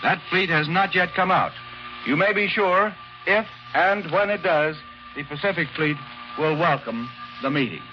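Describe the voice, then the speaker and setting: A man's voice: fairly high pitch, measured pace, dull audio. An American man, a war correspondent, delivering a scripted 1940s radio report. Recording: band-limited shortwave radio, faint music bleed.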